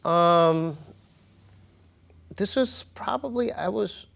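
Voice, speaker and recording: An American man with a fairly high-pitched voice, speaking as a guest in an interview. He tells personal stories casually, with slangy, emphatic phrasing and a halting delivery. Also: vocal fry, fairly bright tone. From United States. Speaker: interview guest, comedian and hip-hop artist.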